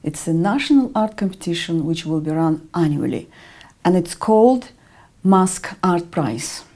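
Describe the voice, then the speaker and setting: A middle-aged woman from Russia with a Russian accent, answering interview questions in a measured way with frequent ums. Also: on the low side, expressive, mellow. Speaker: interview guest, artist and educator.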